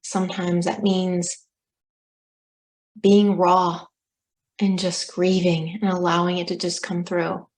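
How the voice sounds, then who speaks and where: A woman life coach speaking slowly and softly on a video call, reflecting back what she hears. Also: fairly low pitch, flat delivery. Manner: slow, soft-spoken, reflective. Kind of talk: gentle coaching session. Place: home room on a video call.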